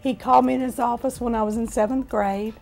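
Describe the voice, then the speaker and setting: A woman in her fifties from Florida with a hoarse, raspy voice, telling her own life story in a plain, unhurried way. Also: on the high side, steady tone, a little mellow, soft background music. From Florida, USA. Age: 50s. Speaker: testimony speaker.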